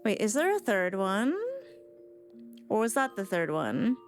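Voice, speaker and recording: A woman's voice: medium pitch, big pitch swings, measured pace. A woman streamer giving casual live reaction commentary on a game, chatty and musing aloud. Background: game music.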